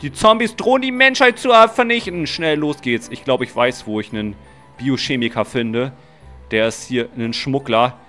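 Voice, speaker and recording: A young man narrating a game role-play dramatically and excitedly, full of exclamations, close to the microphone. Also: mid-range voice, moderate pace. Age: young adult. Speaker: gaming video host and player. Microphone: close microphone, seen. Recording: music under the voice. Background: music.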